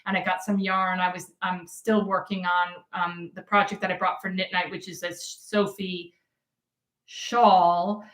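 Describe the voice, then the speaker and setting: A woman's voice: lowish voice, little pitch movement, measured pace, a bit bright, reverberant. A middle-aged woman co-hosting a knitting podcast, talking casually with lots of ums and restarts, her voice a bit worn. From United States. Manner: chatty, rambling with many ums and restarts. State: losing her voice. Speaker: podcast co-host. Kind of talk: casual chat.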